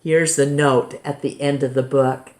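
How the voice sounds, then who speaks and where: A woman reading a children's picture book aloud in a measured, gently expressive way. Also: very deep voice. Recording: roomy, echoing indoor sound.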